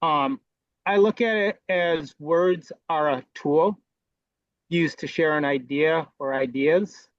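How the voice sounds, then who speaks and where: A man sharing reflective thoughts in a group discussion, measured, with many pauses. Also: fairly high pitch, no room sound, dull audio. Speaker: discussion participant.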